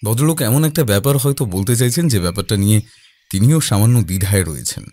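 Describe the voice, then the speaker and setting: A man playing a calm, observant detective in an audio drama, speaking deliberately with thoughtful pauses. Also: lowish voice, dry recording. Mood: calm, pondering. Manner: deliberate, pausing, hesitating mid-thought.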